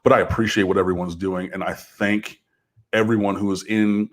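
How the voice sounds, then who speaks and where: A man's voice: on the low side, level tone, moderate pace. Earnest man with a big voice. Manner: earnest, rising to impassioned. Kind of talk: casual talk.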